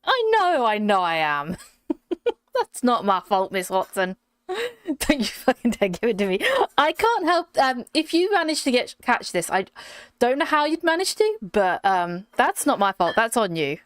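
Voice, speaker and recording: A woman's voice: a little high, big pitch swings, measured pace, clean tone, no room sound. Giggly woman streamer with a slight cold. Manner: giggly, chatty, talks to chat by name. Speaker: game streamer. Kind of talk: casual live-stream chat.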